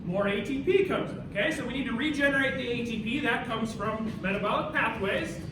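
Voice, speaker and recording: A man's voice: very high-pitched, a little fast. An American man teaching as a university instructor, informal and theatrical, directing students and quizzing them with questions. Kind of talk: animated classroom lecture with questions and directions. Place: indoor university classroom during a live demonstration. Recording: echoey room, far mic, noisy.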